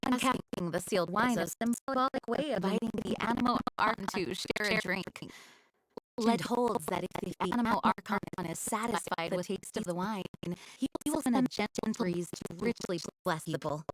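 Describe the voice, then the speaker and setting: A woman's voice: medium pitch, normal speed, a little hiss or hum, close to an everyday voice, rough recording. Woman playing earnest, formal young knight commander. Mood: warmly explanatory. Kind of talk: video game voice acting.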